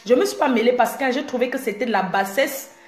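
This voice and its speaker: A woman's voice: fairly high pitch, brisk, roomy sound, soft background music. A woman from Cameroon with a Cameroonian French accent, a blogger talking straight to camera in a boastful, confrontational, mocking way. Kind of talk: direct-to-camera rant.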